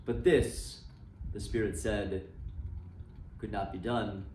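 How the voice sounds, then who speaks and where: A man giving an expressive, dramatic storybook reading of a classic tale for a library, recorded in a roomy space from a distance. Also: lowish voice, takes it slowly. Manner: expressive, swooping phrasing. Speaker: reader for a library.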